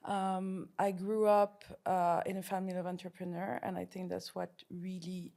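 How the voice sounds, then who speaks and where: A woman's voice: lowish voice, flat delivery, normal speed, gravelly, dark tone. A woman founder answering interview questions in a casual, thoughtful way, with frequent fillers and restarts. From France. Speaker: startup founder.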